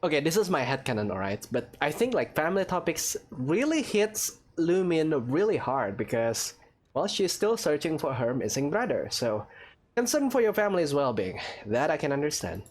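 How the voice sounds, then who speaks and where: A man's voice: a little high, very animated, moderate pace. A young man giving casual let's play commentary, hesitant and playfully sarcastic. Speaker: gaming YouTuber. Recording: game music behind the voice.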